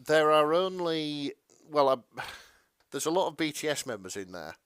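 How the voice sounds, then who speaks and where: A man co-hosting a podcast in casual chat, halting and stammering, mildly apologetic in manner. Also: on the high side, very animated, a bit bright, smooth, no echo, clean studio sound. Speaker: podcast co-host.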